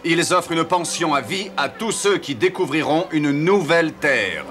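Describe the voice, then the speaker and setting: A seafaring admiral for a historical cartoon. His voice is forceful and bright, set a little high. He speaks with reasoned, declamatory authority, with faint music underneath. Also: animated, normal speed. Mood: persuasive. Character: resolute male explorer admiral, calm and persuasive. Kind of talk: animated historical series dubbing.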